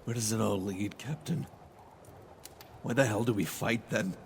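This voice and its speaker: Man acting a slow, creaky-voiced shaken young lieutenant. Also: lowish voice. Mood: shaken, subdued. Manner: hesitant, emotional, halting. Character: earnest younger male lieutenant, shaken, loyal, embittered. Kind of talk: dramatic radio-play acting. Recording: noisy amateur audio drama with outdoor ambience.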